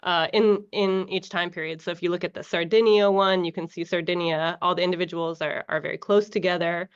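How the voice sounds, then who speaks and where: A woman's voice: lowish voice, a little fast, clean tone. American woman on a dull video call. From United States. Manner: relaxed, many fillers and restarts. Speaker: guest researcher. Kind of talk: casual explanatory interview.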